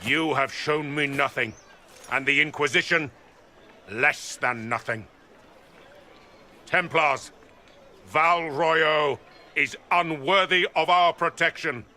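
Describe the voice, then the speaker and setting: Casting: a cold, ambitious knight commander, slow, measured, with a hard, bright tone. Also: male voice, fairly high pitch, exaggerated voice. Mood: cold, commanding. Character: stern zealous male knight commander.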